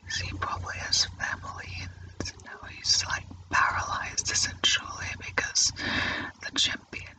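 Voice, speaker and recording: A man whispering an unhurried, musing ASMR commentary as he draws. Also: pushed and bright. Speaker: ASMR artist, host.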